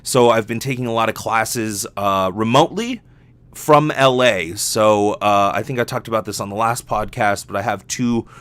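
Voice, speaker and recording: A man's voice: fairly low pitch, normal speed, fairly bright tone, no echo. A young adult man talking casually and candidly as a solo podcast host, rambling and swearing freely.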